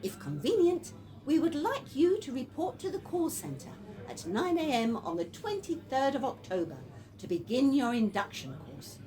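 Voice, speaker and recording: High, lilting, officious corporate woman. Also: sing-song, normal speed, darkish tone. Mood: polite, official. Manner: official, scripted politeness. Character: formal, brightly corporate letter-writer from a call centre. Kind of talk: formal letter reading in a radio play.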